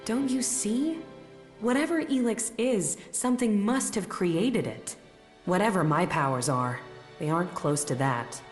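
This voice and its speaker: An actress playing an earnest, thoughtful mage uneasy about her powers in a video game, speaking in a measured way. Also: medium pitch, animated, natural voice. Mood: troubled. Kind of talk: video game cutscene acting. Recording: echoey reverberant space, music behind.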